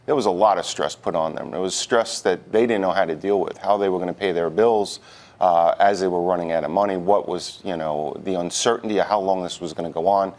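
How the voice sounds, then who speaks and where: A man with a low voice speaks as an interview guest on a news broadcast. He talks in a halting way, often repeating a word before moving on. He sounds like an organization's representative giving answers. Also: darkish tone. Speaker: union president, interview guest.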